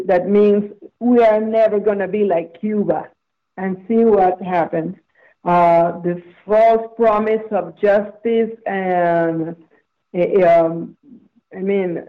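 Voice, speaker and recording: A woman from Venezuela with a Venezuelan Spanish accent, a native Spanish speaker answering interview questions in a halting way, with many fillers and restarts. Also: mid-range voice, muffled recording. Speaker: guest.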